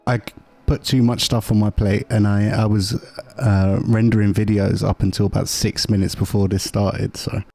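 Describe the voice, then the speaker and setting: A man hosts a casual livestream in a lowish, very mellow voice. His delivery is informal and a little hesitant. Faint music runs behind him. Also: flat delivery, normal speed, creaky, hardly any pauses, no room sound. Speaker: show host.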